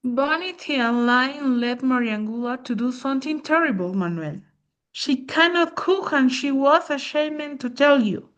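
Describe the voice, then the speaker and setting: A woman's voice: high-pitched, expressive, normal speed, a bit bright. A woman playing a concerned, reproving neighbor who explains things earnestly in a drama. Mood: grave, explaining.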